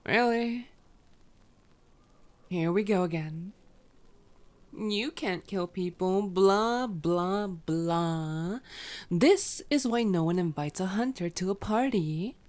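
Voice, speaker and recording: A woman acts out a female vampire with a lowish voice and an unhurried, drawling delivery. She is sardonic and teasing, grumbling in exasperation and swearing casually, in the style of an ASMR roleplay. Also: a little mellow. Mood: teasing, sarcastic. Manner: sarcastic, exasperated, casually profane.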